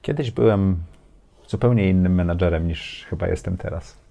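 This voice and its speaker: A man's voice: deep voice, normal speed, gravelly, mellow. A man in his forties hosting a casual interview, putting short conversational questions and remarks. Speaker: interviewer, host. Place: small furnished studio, indoors.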